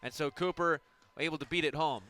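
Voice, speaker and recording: A man with a bright, forward voice, a little high and very clear, works as a softball color analyst. He explains plays in a conversational way. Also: brisk. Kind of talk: live softball commentary.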